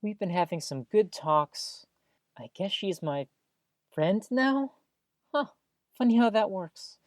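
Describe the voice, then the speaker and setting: An easygoing woman who co-hosts in a radio drama. Her mid-pitched voice swoops about in a lively way, friendly and prone to musing. The sound is close and dry. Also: slow pace, no echo. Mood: musing, amused. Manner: animated, musing, trails off. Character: easygoing, friendly, rambling female radio co-host. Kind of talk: audio drama, conversational banter.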